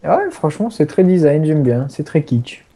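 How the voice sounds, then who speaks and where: A man's voice: on the high side, very animated, normal speed, dark tone. A young man with a French accent playing a shooter game, laid-back and giggly, wandering off into rambling asides. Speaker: gamer. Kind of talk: casual game commentary.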